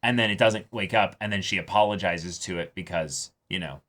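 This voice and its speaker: A man co-hosting a reaction show, casually analysing a story in an explanatory way, often halting to search for words. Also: sits low, sharp tone. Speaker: co-host of an anime reaction show.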